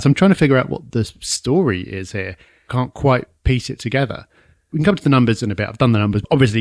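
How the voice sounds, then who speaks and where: A man co-hosting a podcast, talking through property analysis in a conversational, measured and analytical way. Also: medium pitch, no echo, clean studio sound. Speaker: podcast co-host.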